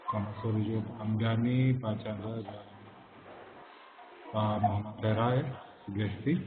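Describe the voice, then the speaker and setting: A man hosting a live online trading webinar, talking slowly with many pauses and fillers and a slight cough. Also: fairly low pitch, fairly even intonation. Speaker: webinar host and forex educator. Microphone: computer mic over webinar. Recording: tinny phone-like webinar audio.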